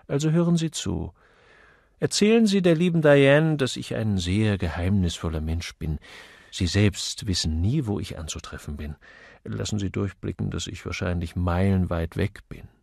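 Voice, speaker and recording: A man voicing a witty, suave gentleman detective in audiobook dialogue, urbane, wry and playful. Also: low voice, normal speed, creaky, no room sound, clean studio sound. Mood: playful, instructing.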